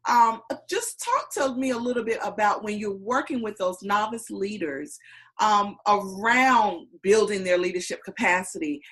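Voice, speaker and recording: A woman's voice: mid-range voice, expressive, moderate pace, a bit bright. Woman hosting an interview. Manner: encouraging, affirming. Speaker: podcast host, interviewer. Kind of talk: interview questions.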